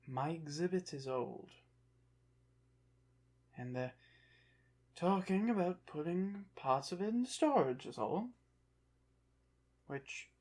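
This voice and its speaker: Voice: mid-range voice, husky. An actor voicing a proud, touchy ancient Egyptian revived from the dead, speaking formally and guardedly in an ASMR roleplay. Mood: defensive, sulky. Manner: formal, haughty, guarded, halting phrases. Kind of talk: ASMR roleplay, one side of a dialogue.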